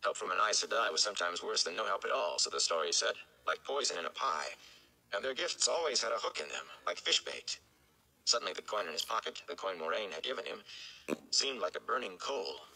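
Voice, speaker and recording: Deep, gravelly young man narrating. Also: lively intonation, brisk, pushed and bright, low-quality recording. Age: young adult. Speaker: audiobook-style reader for a fan read-along. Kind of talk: dramatic storybook narration. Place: small indoor room.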